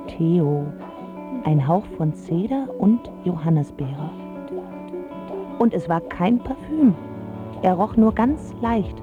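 An actress playing a spoiled, smitten teenage girl who narrates a radio drama in a wry, confiding way with dramatic pauses. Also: lowish voice, phone-quality sound. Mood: confiding, scornful. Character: spoiled rich teenage girl, smitten, sharp-tongued about boys.

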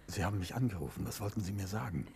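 An actor playing an urbane, polite, quick-witted amateur detective in a 1950s German radio drama. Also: male voice, low voice, lively intonation, creaky, mellow. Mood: calm, concerned. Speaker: detective hero of a radio serial. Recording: noisy old 1950s radio recording.

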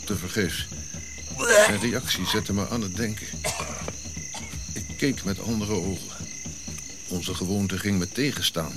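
Radio drama narrator: a thoughtful Ashanti prince, measured storytelling, lowish pitch, clearly noisy insect backdrop. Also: male voice, fairly even intonation. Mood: reflective. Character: reflective Ashanti prince recounting his childhood memories.

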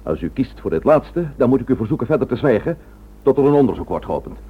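A man playing a measured, formal and firm senior police commissioner in a radio drama. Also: medium pitch, big pitch swings, slightly rough. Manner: formal, deliberate, authoritative. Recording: tinny, band-limited old radio recording.

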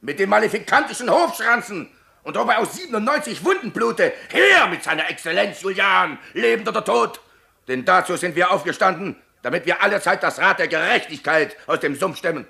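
An actor playing a cruel, bombastic rebel captain in a theatrical radio play, with a bellowing, pompous, menacing delivery. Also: male voice, high-pitched, animated, a little fast, vocal fry, sharp tone, full character voice. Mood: furious, commanding.

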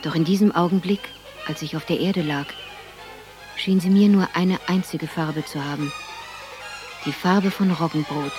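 An actress playing a grown woman recalling her village childhood, narrating a radio drama in a measured, reflective way. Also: lowish voice, lightly acted.

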